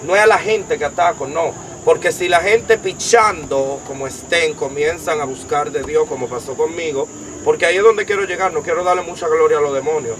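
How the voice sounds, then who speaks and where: A young man with a Dominican accent, giving an emphatic personal testimony as an interview guest, piling up details in long rapid lists. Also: a little high, noisy background. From Dominican Republic. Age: young adult.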